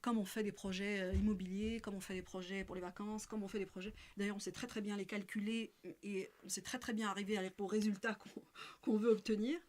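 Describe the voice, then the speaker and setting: A woman's voice: mid-range voice, fairly even intonation, a little fast, darkish tone. A woman giving a live spiritual talk, speaking with many hesitations, fillers and restarts. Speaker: religious speaker. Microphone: live stream.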